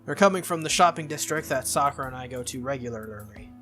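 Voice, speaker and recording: A game playthrough host reading a visual novel aloud in an expressive way, with casual reactions. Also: medium pitch, fast. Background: game music.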